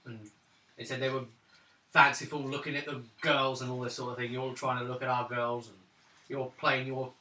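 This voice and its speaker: A man's voice: mid-range voice, little pitch movement, fairly quick, pushed and bright, lightly acted. A man voicing a friendly, easygoing village innkeeper in a tabletop game, explaining things, on a distant roomy recording. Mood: friendly, matter-of-fact.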